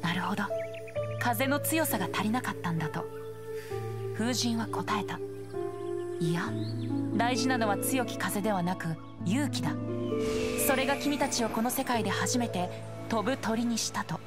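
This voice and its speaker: A woman playing a gentle, solemn storyteller, narrating an old fairytale in a scripted game cutscene. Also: fairly high pitch, lively intonation, normal speed, pauses often, lightly acted. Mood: solemn, lyrical. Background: prominent music.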